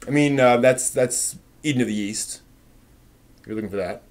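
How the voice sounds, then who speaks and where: A man hosting a casual live stream, replying to viewer comments with thinking pauses and firm, emphatic opinions. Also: mid-range voice.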